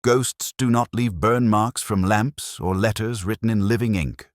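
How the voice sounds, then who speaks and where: A man voicing a calm, cerebral Victorian detective with measured, formal speech and quiet authority in an audiobook story. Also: fairly low pitch, no echo, studio quality, pitched above the performer's own voice, natural voice. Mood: coolly deductive.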